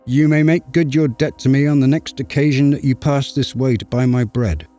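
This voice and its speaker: A man's voice: medium pitch, fairly even intonation, normal speed, dark tone, faint music behind, close to an everyday voice. Man doing a bustling kindly baker woman. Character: kindly hardworking female baker.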